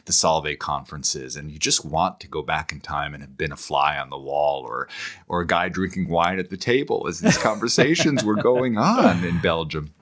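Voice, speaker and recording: A man speaking as a scholarly interview guest in thoughtful conversation, digressive and halting, often repeating words as he goes. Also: very deep voice, fairly bright tone.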